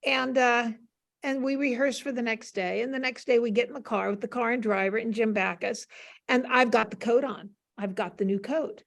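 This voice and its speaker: An older American woman, a panel guest, telling casual stories in an animated way and acting out remembered dialogue. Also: on the high side, normal speed, vocal fry. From United States. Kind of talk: casual anecdotal storytelling.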